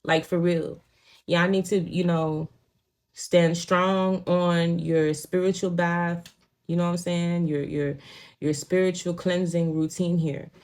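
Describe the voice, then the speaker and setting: A woman's voice: lowish voice, little pitch movement, takes it slowly, a little mellow. Profane Haitian-accented woman reading tarot. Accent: Haitian Creole. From Haitian home. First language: Haitian Creole. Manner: blunt, profane, frequent "you know what I'm saying". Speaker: tarot reader. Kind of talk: casual spiritual reading.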